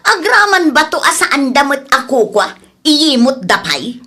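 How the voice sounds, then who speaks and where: A woman's voice: sits high, big pitch swings, a little slow, bright, forceful tone, full character voice. A woman playing a stubborn, grabby village housewife who argues back in whining retorts, in a comedic radio drama. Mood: indignant, whining.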